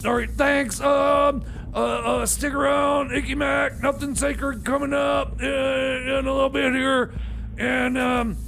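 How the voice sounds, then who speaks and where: Very high, creaky, flustered man playing a radio DJ. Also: level tone, a bit bright, slight background noise, full character voice. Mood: hesitant, resigned. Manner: halting, stammering, unsure. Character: flustered hapless male time machine mechanic stand-in DJ. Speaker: radio show DJ. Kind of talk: comedy radio theater, rambling DJ patter.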